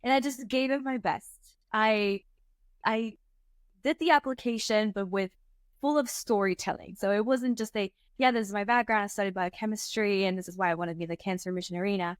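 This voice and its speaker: A young woman in her twenties from Venezuela, telling her story as an interview guest in a chatty, animated way with many fillers. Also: medium pitch, a little fast, no echo. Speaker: startup co-founder, guest. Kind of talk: casual interview talk.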